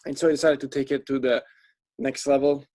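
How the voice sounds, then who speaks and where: A man from Italy with an Italian accent, chatting as a guest in an interview, halting and restarting his sentences. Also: mid-range voice, level tone, darkish tone, dry recording. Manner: halting, restarts often, laughs between phrases. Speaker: guest filmmaker and rock singer. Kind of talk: casual interview chat.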